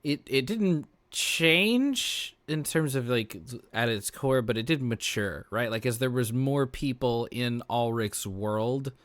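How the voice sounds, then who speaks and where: A man's voice: mid-range voice, takes it slowly, hardly any pauses, a bit bright, no room sound. A man chatting casually on a roundtable, informal and emphatic, repeating words as he thinks aloud. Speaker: podcast regular, tabletop role-playing player.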